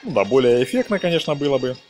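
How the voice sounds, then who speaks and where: Man narrating gameplay over clear music. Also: medium pitch, lively intonation, quick pace, darkish tone. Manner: talks to viewers, exclaims in interjections. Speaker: gaming video narrator. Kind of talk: casual gameplay commentary. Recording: music behind the voice. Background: game music.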